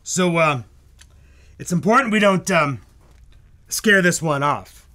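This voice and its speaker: A man acting an excitable, theatrical 1940s radio producer in a hammy, emphatic comedy style. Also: a little high, slow pace, exaggerated voice. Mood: confiding, jovial. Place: live stage performance in a bookstore.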